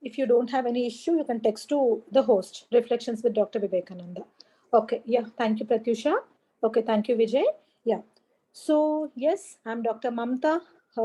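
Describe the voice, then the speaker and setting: A woman's voice: high-pitched, dark tone, rough recording. A woman with an Indian English accent teaching a live online class on a video call, encouraging and repeating key points while greeting students by name. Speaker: online exam coaching teacher. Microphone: laptop or headset mic on a video call.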